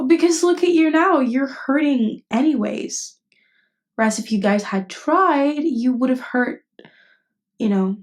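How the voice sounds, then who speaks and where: A woman voice acting a caring, indignant best friend in an intimate ASMR roleplay, speaking casually and bluntly. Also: a little high. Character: protective, indignant female best friend comforting someone. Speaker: voice actress.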